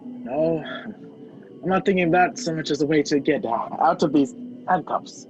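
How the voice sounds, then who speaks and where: A man's voice: fairly high pitch, moderate pace, a little mellow, close to an everyday voice. A young man acting a wisecracking, sarcastic fugitive in an amateur radio play. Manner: dry sarcasm. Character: wisecracking fugitive man, sardonic, handcuffed to a woman. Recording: dull lo-fi video call audio. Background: music.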